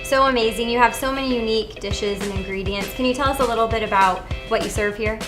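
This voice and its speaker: A woman hosts with bubbly enthusiasm, heaping praise as she asks her questions. Her voice runs high. Loud music plays under her. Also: quick pace. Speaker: host. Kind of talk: lifestyle TV hosting.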